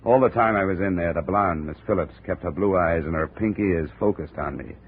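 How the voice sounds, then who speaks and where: Man voicing a sardonic hard-boiled investigator, deep voice, dry narration, tinny old radio sound. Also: a little fast, close to an everyday voice. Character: wry, hard-boiled male insurance investigator. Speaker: narrator and lead. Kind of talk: 1950s radio drama acting. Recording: tinny old radio broadcast, faint hiss.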